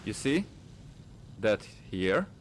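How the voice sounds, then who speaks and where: A man giving casual gameplay commentary, rambling and thinking aloud with halting phrases. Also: on the low side, sing-song, slight background noise. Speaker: gaming streamer. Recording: game music behind the voice. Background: video game music.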